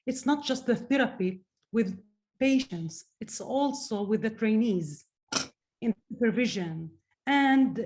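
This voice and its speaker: A woman's voice: a little high, darkish tone, a little hiss or hum. A woman whose first language is Arabic, a therapist speaking earnestly in a group discussion, searching for words and repeating phrases.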